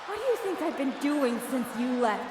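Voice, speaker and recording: A woman's voice: sits high, sing-song, brisk. A woman playing a bitter, jealous wrestling promoter in a video game, delivering a sarcastic, menacing speech over an arena PA. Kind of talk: theatrical in-ring promo speech. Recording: echoey arena PA with crowd noise.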